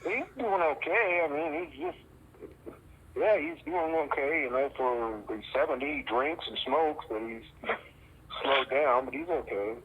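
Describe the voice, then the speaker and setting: A middle-aged man chatting casually over a recorded telephone call, halting and tentative in how he talks. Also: on the high side, expressive, slight background noise. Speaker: caller in a personal phone conversation. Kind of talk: casual private phone chat. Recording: dull lo-fi phone call recording.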